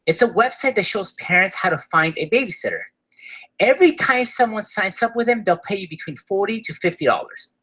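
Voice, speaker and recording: A man with an Argentine accent giving an upbeat webinar sales pitch for his online course, often tagging his sentences with "right?". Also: expressive, a little fast, sharp tone, breathy edge, rough recording. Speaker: course seller presenting a webinar.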